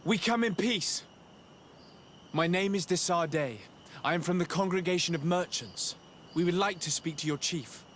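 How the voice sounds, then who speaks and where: Higher-voiced, earnest noble envoy. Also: male voice, normal speed, a bit bright, a little hiss or hum. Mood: polite, composed. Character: earnest, courteous, resolute young nobleman envoy. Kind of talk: video game dialogue.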